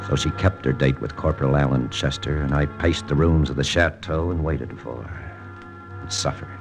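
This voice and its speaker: An actor playing a brooding, jealous American lieutenant and undercover agent, terse and clipped, with an American accent, in 1940s-50s radio drama style. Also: male voice, rumbling low, steady tone, normal speed, over loud music. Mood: brooding narration. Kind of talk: 1940s-50s radio drama acting and first-person narration.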